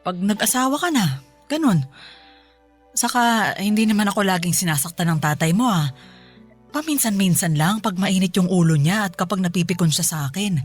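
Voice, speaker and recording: A woman's voice: mid-range voice, expressive, moderate pace, slightly rough, soft background music. Patient, placating Filipino mother. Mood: gentle, reassuring. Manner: pleading, placating. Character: patient, forgiving, long-suffering Filipino wife and mother. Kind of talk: radio drama acting.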